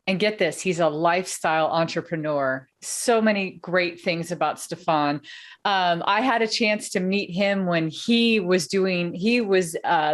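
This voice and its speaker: A woman co-hosts a podcast and opens the show with an upbeat introduction. She talks casually and with eager excitement. Her voice sits in a middle range for a woman. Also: medium pitch. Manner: upbeat, hypes the guest, casual "y'all". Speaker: podcast co-host.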